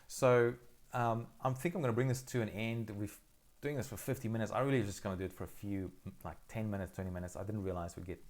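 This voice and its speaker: A middle-aged man from South Africa, whose first language is Afrikaans, hosts a live Q&A straight from his phone. His voice sits somewhat low, and he answers viewer questions in a relaxed, thoughtful way, full of ums and restarts. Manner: thoughtful, hesitant, many fillers and restarts. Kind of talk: casual live Q&A.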